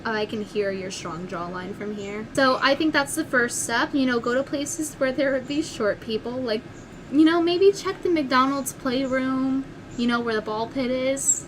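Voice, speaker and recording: A woman's voice: sits high, normal speed, a little hiss or hum. Sarcastic woman hosting a call-in show. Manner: sarcastic, teasing and blunt. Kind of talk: mocking comedic phone interview.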